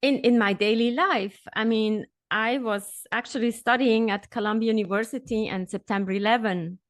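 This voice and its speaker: A middle-aged woman who grew up in Switzerland, a podcast guest telling her story in an animated, upbeat way. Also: a little high, moderate pace, no room sound, clean studio sound. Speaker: podcast guest, executive coach. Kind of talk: conversational interview.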